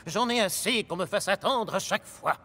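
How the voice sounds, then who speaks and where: A man's voice: high-pitched, moderate pace, bright, forceful tone, slightly rough, heavily acted. A man voicing a proud, stubborn, grumpy big express locomotive in a French-language children's cartoon, in a pompous, boastful manner. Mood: haughty, impatient. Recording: music behind the voice.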